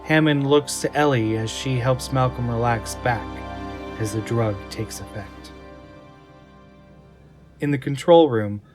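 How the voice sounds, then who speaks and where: Mid-pitched man narrating over faint music. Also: a little slow, pauses often. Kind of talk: scripted narration of an audio drama.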